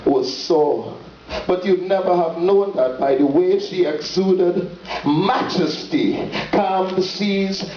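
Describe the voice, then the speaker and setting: A man gives a dramatic spoken-word poetry reading, phrasing theatrically and solemnly with deliberate pauses. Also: high voice, mellow. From Trinidad and Tobago. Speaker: poet. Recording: dull lo-fi, roomy echo, distant, faint noise.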